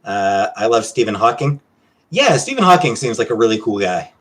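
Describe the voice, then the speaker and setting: Halting Canadian man, slightly low voice. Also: very animated, a bit bright. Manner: halting, hesitant, trailing off. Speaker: livestream host. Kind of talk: casual livestream chat answering viewer questions.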